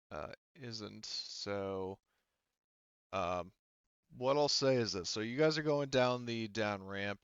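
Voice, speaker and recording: A man running a tabletop roleplaying game as game master, describing scenes and handling rules in a halting, conversational way. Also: fairly low pitch, sharp tone, clear, resonant tone, no echo, highs cut off. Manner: halting, conversational, often rephrasing mid-thought. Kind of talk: improvised tabletop game narration and casual rules talk.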